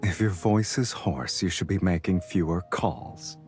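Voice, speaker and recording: A man playing a suave, devoted lover who teases gently and intimately in a romantic audio drama. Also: measured pace, soft background music. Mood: teasing, amused. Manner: low-key, teasing, intimate. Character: suave, teasing, devoted adult male lover.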